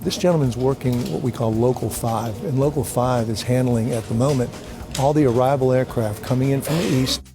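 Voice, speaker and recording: A man's voice: medium pitch, brisk, music in the background. A man in an interview, explaining air traffic control work in a matter-of-fact way.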